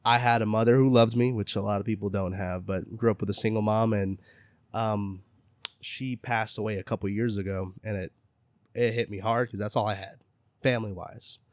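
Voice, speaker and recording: Earnest low-voiced male interviewer. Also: steady tone, normal speed, dry recording. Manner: earnest, friendly, self-promoting. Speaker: interviewer, gaming content creator. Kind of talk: casual interview chat.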